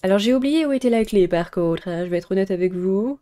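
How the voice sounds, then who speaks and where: A woman's voice: medium pitch, animated, quick pace, dark tone, clean tone. A young adult woman giving casual playthrough commentary and reading game dialogue aloud as a streamer.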